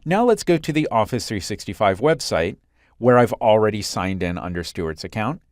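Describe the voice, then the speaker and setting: A man working as an instructor, narrating a software screencast in a clear, step-by-step explanatory way. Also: fairly low pitch, expressive, normal speed, no echo, clean studio sound.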